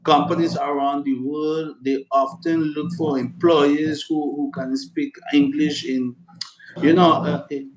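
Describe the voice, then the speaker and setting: A man's voice: on the high side, flat delivery, unhurried. Echoey man, chatty and earnest. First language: Spanish. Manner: earnest, repeats words and fillers often. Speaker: interview guest. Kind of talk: casual interview answers. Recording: echoey room with loud background noise.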